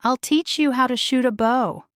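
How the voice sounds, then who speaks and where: A woman's voice: high-pitched, moderate pace, a bit bright, no room sound, clean studio sound, pitched above the performer's own voice. A woman voicing a spirited, eager young princess in a children's storybook, bold, informal and exclamatory. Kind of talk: children's storybook character reading.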